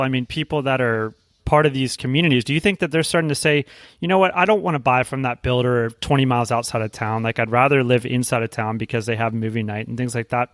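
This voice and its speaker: A man's voice: mid-range voice, fairly bright tone, dry recording, clean studio sound. An American man hosting an interview in a casual, inquisitive way, thinking aloud as he builds his questions. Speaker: podcast host. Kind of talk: conversational interviewing.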